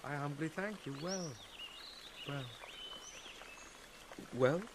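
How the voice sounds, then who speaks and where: Male actor as tormented young prince, bitter, volatile, theatrical radio Shakespeare, deliberate pauses, faintly noisy recording. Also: a little high. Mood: guarded. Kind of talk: Shakespearean radio drama acting.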